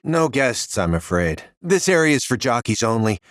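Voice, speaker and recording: A man's voice: medium pitch, big pitch swings, moderate pace, a bit bright, dry recording, studio quality. A man playing an officious gate attendant in a video game, polite but firm as he turns guests away. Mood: firm, refusing.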